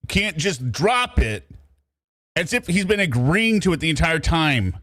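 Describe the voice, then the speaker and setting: A man's voice: a little high, very animated, measured pace, fairly bright tone. A man giving casual, mocking reaction commentary with sarcastic, exasperated and profane asides. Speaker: commentator reacting to recorded calls.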